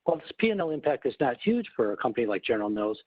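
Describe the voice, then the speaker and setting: Man, husky voice, measured explanatory presenting, formal, thin echoey phone audio. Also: medium pitch, sing-song. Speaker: corporate treasury executive presenting. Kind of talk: prepared webinar presentation. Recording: muffled phone line, roomy echo.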